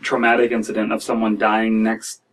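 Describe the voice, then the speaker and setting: A man in his thirties reading and reacting to stories as a podcast host, in a halting, wry, casual way. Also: lowish voice, completely flat delivery, recorded from a distance. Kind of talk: casual commentary and story reading. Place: small dim room indoors.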